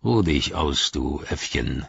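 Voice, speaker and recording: This is a vicious orc jailer voiced by a man who leans in with hissed, sneering threats. The voice is deep and rough with breath. It is a dry, muffled audiobook recording. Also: expressive, takes it slowly, no room sound, full character voice. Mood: menacing. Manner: sneering threats, low and hissing. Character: menacing, snarling male orc guard with a knife.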